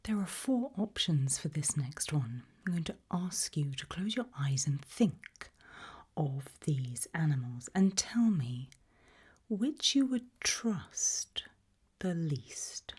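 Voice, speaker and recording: Slow, breathy whispering woman. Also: low-pitched, very animated. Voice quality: soft breathy whisper, mostly unvoiced. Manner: slow, deliberate, gently ominous interviewer. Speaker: ASMR roleplay host. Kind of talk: ASMR roleplay, whispered questionnaire.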